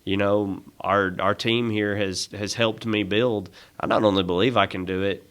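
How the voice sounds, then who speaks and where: Podcast guest, man, lowish voice, sincere and earnest, with frequent restarts. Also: fairly even intonation, moderate pace, fairly bright tone. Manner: earnest, sincere, repeats words while restarting. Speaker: podcast guest, dealership employee. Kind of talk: casual podcast chat.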